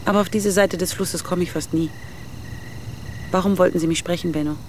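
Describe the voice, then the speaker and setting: An actress playing a reluctant, frank woman with a creaky voice and short patience, in a naturalistic radio drama. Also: on the low side, steady tone, fairly quick, slight background noise, close to an everyday voice. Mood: polite, reserved.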